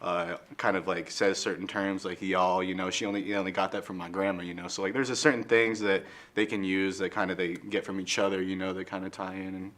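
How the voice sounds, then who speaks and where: A man's voice: low-pitched, little pitch movement, a little fast, few pauses, a bit bright. A young man answering interview questions in a rambling, casual way, full of "like" and "you know.".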